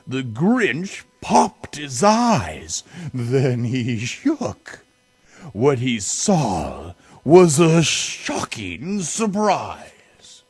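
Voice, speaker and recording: A man giving a dramatic children's storybook reading, theatrical, with drawn-out phrases and big swells. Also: mid-range voice, huge pitch swings, slightly rough, no echo. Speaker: storybook reader.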